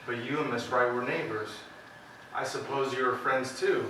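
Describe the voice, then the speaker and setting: A man playing a pompous, bright-voiced county attorney. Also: mid-range voice, fairly even intonation, moderate pace, close to an everyday voice. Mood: condescending. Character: brisk, condescending male county attorney. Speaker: amateur stage actor. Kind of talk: stage drama acting, questioning. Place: indoor theater stage, distant microphone. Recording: echoey stage from far off, faint noise.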